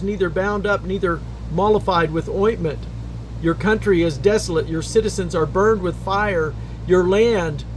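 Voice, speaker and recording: A man reading the Bible aloud in a solemn, deliberate, phrase-by-phrase delivery. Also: sits high, slight background noise, rough recording. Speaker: scripture reader.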